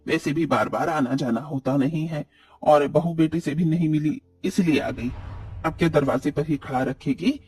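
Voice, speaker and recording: A demanding older auntie from a cartoon moral tale, voiced by a man. The voice is fairly high for a man and husky, chattering on and finding fault. It is recorded slightly off the mic. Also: normal speed, a little mellow, recorded from a distance. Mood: breezy, nosy. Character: demanding older visiting aunt, a fussy, entitled woman.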